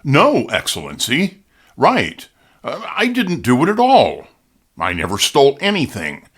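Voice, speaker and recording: A man's voice: mid-range voice, huge pitch swings, unhurried, fairly bright tone, husky, full character voice. An actor playing a poor, hungry, simple prisoner pleading his innocence in an audio drama, stammering and flustered. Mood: flustered, protesting.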